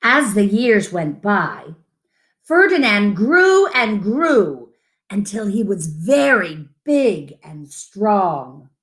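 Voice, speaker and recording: Woman, children's story-time reader, unhurried pace, very animated intonation, gentle storytelling. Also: mid-range voice. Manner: gentle, deliberate storytelling with asides about the pictures. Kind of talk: expressive read-aloud of a picture book to children.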